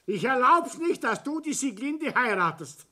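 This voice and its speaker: A man's voice: very high voice, big pitch swings, measured pace, full character voice. An actor playing a domineering, hot-tempered middle-aged Bavarian father and business owner in a stage-style drama, commanding and blustering. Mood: bitter, accusing. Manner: blustering, commanding, swinging between coaxing and shouting. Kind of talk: dramatic stage-play acting in dialect.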